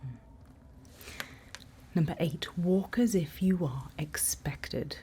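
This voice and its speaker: A woman performing a whispered ASMR roleplay as a calm night-watch guide, breathy, instructive and reassuring, close to the microphone. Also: low-pitched, slow. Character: calm female night-watch guide in a dark monster-filled forest. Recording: faint background ambient noise.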